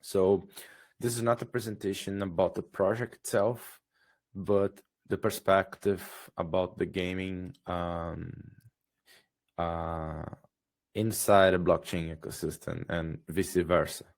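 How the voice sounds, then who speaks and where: Man, Portuguese accent, hoarse, very deep, gravelly, slow, hesitant presenter, video call. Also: steady tone. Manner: hesitant, many fillers and restarts. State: hoarse, a bit sick. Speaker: guest presenter. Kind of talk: webinar presentation.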